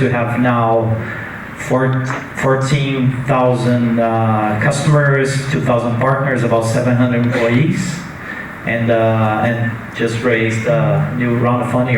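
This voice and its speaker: A Brazilian-accented man introducing himself as a startup founder at a conference panel, halting with many fillers and restarts. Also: mid-range voice. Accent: Brazilian Portuguese. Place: conference hall. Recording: echoey hall, distant mic, noisy.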